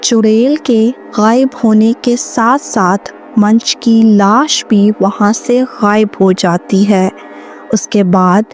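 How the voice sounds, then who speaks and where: A woman narrating a storybook cartoon in a very slow, measured way. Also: mid-range voice, steady tone, faint music behind.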